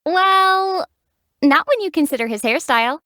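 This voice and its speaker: A wry young traveler heroine. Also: female voice, extremely high pitch, expressive, moderate pace, full character voice. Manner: dry teasing explanations and sharp battle shouts. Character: young female traveler hero, calm and wry. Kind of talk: video game voice acting. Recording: dry close studio voice.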